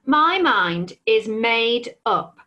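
A woman voicing a huge stubborn male dragon, speaking slowly, loudly and bossily. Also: on the high side, big pitch swings, full character voice. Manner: slow, loud, bossy.

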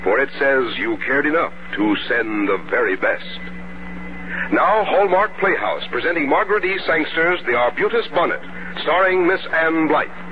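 A man's voice: fairly high pitch, expressive, normal speed, noisy background. A man doing scripted announcing and advertising in the style of 1950s radio. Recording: dull vintage radio recording with music. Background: music.

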